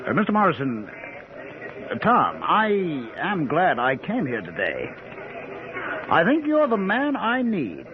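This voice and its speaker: An actor playing an absent-minded, excitable middle-aged professor with a musing, eager manner in an old-time radio drama. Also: male voice, fairly high pitch, a little slow, pauses often, a crowd in the background. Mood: intent. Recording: noisy, muffled old radio recording.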